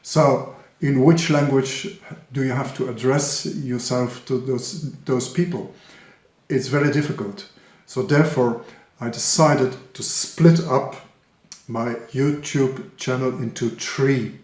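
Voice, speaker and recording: A man, a Dutch speaker, making a casual announcement to the viewers of his trading channel, with many pauses and fillers. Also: mid-range voice, steady tone, darkish tone, reverberant. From Flemish Belgium. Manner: hesitant, frequent "uh" and "um", self-corrections. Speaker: trading YouTuber.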